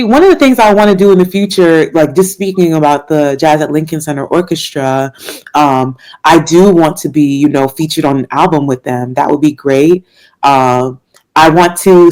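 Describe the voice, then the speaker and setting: A woman's voice: sits low, a little mellow, low-quality recording. A young woman from Washington, D.C., a jazz singer answering interview questions in an earnest, faith-filled way, with fillers and laughs. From Washington, D.C., United States. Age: young adult.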